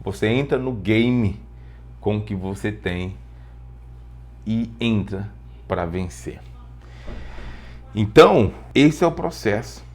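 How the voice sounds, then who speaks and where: A man's voice: lowish voice, lively intonation, takes it slowly, pauses often, a little mellow. Brazilian man teaching, explanatory tone. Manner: explanatory, repeats phrases for emphasis, rhetorical questions. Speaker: English teacher. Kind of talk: informal spoken lesson with personal storytelling.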